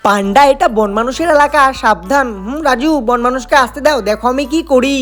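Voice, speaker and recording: A woman voicing all the characters of a cartoon story, playful and quick to switch between childlike figures. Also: high-pitched. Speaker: cartoon voice artist and channel narrator. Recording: over loud background music.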